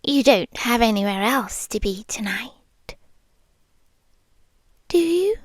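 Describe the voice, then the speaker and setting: A British woman playing a tender, possessive vampire girlfriend in hushed, coaxing ASMR roleplay, often whispering close to the microphone. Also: a little high, animated, dry recording. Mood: coaxing, affectionate. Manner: slow, hushed, lingering, coaxing with soft pauses. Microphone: close microphone, dry.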